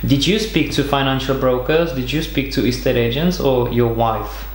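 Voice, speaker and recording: A man's voice: medium pitch, moderate pace, reverberant, slight background noise. A man hosting an interview podcast, asking questions in a casual way with many fillers. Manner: friendly prompting with many fillers. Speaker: podcast host.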